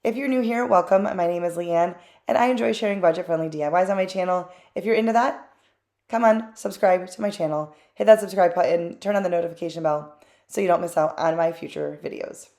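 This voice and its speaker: A woman's voice: lowish voice, a little fast, mellow. A woman hosting her own craft channel, narrating a voice-over in a chatty, candid way with plenty of fillers and asides.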